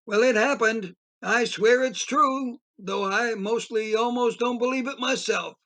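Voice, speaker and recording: Man as a high-voiced, folksy storyteller. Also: measured pace, sharp tone, full character voice. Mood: bemused. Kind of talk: audio play first-person narration.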